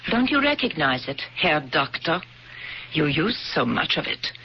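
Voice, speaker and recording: An icy, vengeful survivor. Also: female voice, low-pitched, big pitch swings. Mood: icily accusing. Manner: measured, icy, deliberate. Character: vengeful woman, Holocaust survivor, calm and cold. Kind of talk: 1970s radio drama acting. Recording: dull old radio broadcast with hiss.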